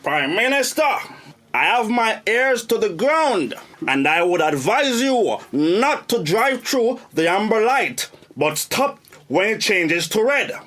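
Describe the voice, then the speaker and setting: A worried government minister in a radio drama. He has a high male voice with a Jamaican accent, and his pitch moves around a lot as he earnestly warns and argues like an orator. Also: a bit bright, full character voice.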